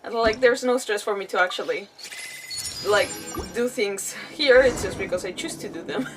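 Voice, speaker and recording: A woman game streamer chatting casually to her viewers in an animated, rambling, self-deprecating way. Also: mid-range voice, normal speed. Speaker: game livestreamer. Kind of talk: casual livestream chat to viewers. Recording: distant mic, music playing behind. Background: background music.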